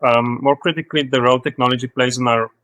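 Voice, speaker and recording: A man's voice: medium pitch, fairly even intonation, fast talker, fairly bright tone, no echo. A man in his thirties with a South African accent, talking as a guest in a podcast interview, hesitant, with many fillers and restarts. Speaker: guest, studio co-founder.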